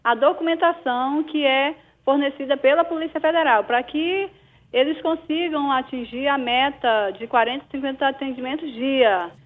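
A Brazilian-accented woman speaks over a thin, tinny phone line. Her voice sits high, and she answers questions hesitantly, with many false starts and fillers, as a town mayor. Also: moderate pace. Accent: Brazilian Portuguese.

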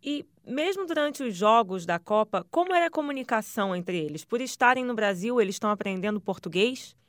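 A woman's voice: fairly high pitch, lively intonation, fairly quick, dry recording, clean studio sound. A woman interviewing a guest for radio, asking formal, polite questions.

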